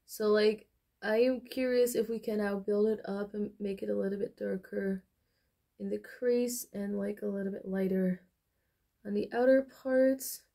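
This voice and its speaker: A woman's voice: mid-range voice, steady tone, measured pace, vocal fry, dark tone. A young woman chatting casually through a makeup tutorial, rambling with many fillers. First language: Dutch. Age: young adult. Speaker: beauty vlogger. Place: small bedroom, indoors.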